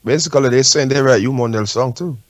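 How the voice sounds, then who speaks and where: A man's voice: mid-range voice, quick pace, no room sound. A man with a Jamaican accent talking casually and anecdotally as a guest in a radio discussion. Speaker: talk show guest.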